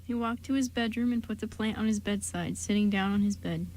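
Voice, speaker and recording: A woman's voice: medium pitch, a little fast, darkish tone, rough recording. A woman reading scripted story narration for an amateur radio drama.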